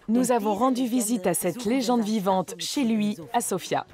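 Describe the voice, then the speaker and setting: A woman reading scripted documentary narration in a measured, informative way. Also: medium pitch, loud music behind the voice.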